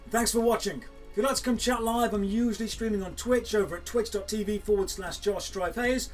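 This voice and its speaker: A man in his thirties giving a scripted review to camera, talking in a measured, candid and direct way. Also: high-pitched. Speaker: video game reviewer. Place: indoors in front of a green screen. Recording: faint music under the voice.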